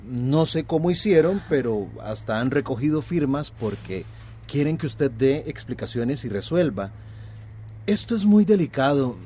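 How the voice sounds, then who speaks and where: An actor playing an obsequious, condescending company representative in a radio drama, placating and deferential. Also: male voice, medium pitch, big pitch swings, measured pace, warm tone, a little hiss or hum. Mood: concerned, condescending.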